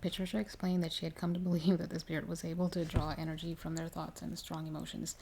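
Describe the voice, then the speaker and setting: Creaky, soft-spoken woman reading ASMR stories. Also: deep voice, level tone, fast, a little hiss or hum. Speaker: reader of paranormal stories for ASMR. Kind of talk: ASMR reading aloud of a narrative book.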